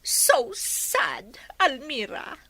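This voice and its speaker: A woman playing an excitable young village gossip, chatty and theatrical, in a comedy radio drama. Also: high voice, very slow, no echo, full character voice. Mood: gossipy, excited.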